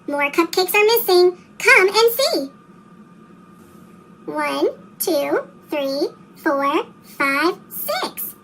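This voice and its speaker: A storyteller with a pitched-up chipmunk effect, reading a children's story in an animated, expressive way for young listeners. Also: a little hiss or hum. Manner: animated, expressive, slow read-aloud delivery for young children. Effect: chipmunk-style pitched-up voice. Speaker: storybook reader. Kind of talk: scripted children's storybook narration.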